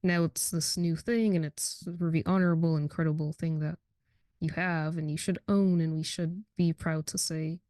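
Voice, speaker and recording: A woman's voice: low voice, fairly even intonation, normal speed, mellow, no echo, clean studio sound. An American woman hosting a casual interview, speaking informally and affirming what her guest says. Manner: affirming, informal, reacts and encourages. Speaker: podcast host, interviewer.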